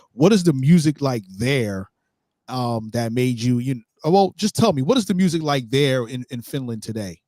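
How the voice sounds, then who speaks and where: A man's voice: mid-range voice, darkish tone, no room sound. A man from Brooklyn with a New York accent, hosting a casual live-stream interview in a laid-back way and leaning on repeated catchphrases. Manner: laid-back, repeats catchphrases like "you get what I'm saying". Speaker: host.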